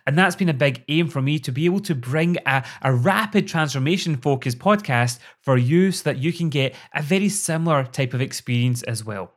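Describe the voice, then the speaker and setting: A man's voice: fairly high pitch, brisk, hardly any pauses. A middle-aged Scottish man hosting a podcast, speaking as a performance coach in an upbeat, earnest, motivational monologue.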